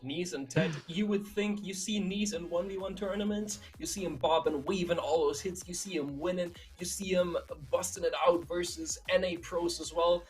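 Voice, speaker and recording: A man commentating an esports tournament on a livestream in an emphatic, hype-building way, his voice a little raspy. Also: high-pitched, steady tone, moderate pace, hardly any pauses. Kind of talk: excited live game commentary and post-match talk.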